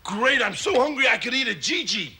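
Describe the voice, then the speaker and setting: A high, piercing, gravelly voice plays a tough baby convict. It is indignant and rebellious, comic prison-film talk delivered with big swings. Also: male voice, very animated, brisk, bright, forceful tone, full character voice. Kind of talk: comedy sketch, prison-film parody.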